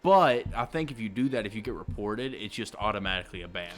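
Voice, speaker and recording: Young guy, deep voice, gets loud and excited then drops to a mumble, faint music under him. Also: fast. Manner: loud, excitable, swings between shouts and low mumbles. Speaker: podcast co-host. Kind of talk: casual chat. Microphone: desk USB microphone.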